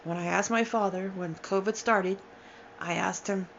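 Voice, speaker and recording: A woman in her forties telling a painful personal story, halting and emotional, with sighs and restarts, tired and upset. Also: medium pitch, fairly even intonation, vocal fry, a bit bright, highs cut off, a little hiss or hum. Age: 40s. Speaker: storyteller sharing a personal account. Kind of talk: unscripted personal testimony.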